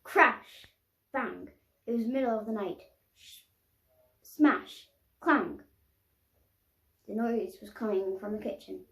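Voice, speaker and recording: A woman reading a children's picture book aloud expressively, pausing to explain the pictures. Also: medium pitch, creaky. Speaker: storybook reader. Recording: roomy, echoing sound.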